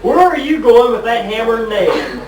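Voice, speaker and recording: A man's voice: extremely high pitch, big pitch swings, normal speed, a faint crowd. A man playing a fussy, complaining husband bickering comically in a stage sketch. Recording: distant echoey hall, audience noise.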